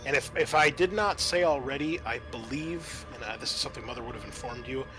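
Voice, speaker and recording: A man working as game master, improvising narration for a tabletop roleplaying game in a halting, thinking-aloud way. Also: mid-range voice, over music.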